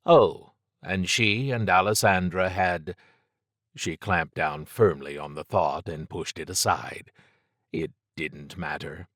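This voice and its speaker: A man's voice: deep voice, takes it slowly, a bit bright, dry recording, clean studio sound. A man narrating scripted fiction as an audiobook reader.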